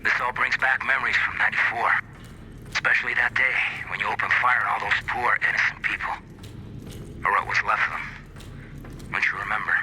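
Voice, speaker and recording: Brusque major over noisy radio. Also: male voice, lowish voice, little pitch movement, fairly quick, long pauses, sharp tone. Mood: taunting. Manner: commanding, brusque. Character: hard-bitten male army major commanding over radio. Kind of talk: video game cutscene acting, military radio orders.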